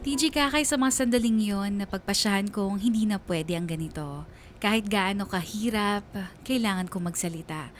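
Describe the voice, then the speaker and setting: A woman's voice: medium pitch, moderate pace, close to an everyday voice. A woman playing a hurt but resolute letter-sender, narrating her story in dramatic first-person radio style. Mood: determined. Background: background music.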